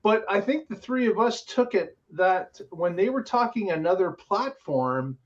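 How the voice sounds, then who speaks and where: A man's voice: high-pitched, expressive, a little slow, highs cut off. A man hosting a casual podcast, talking through the week's news with many filler words. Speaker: podcast host.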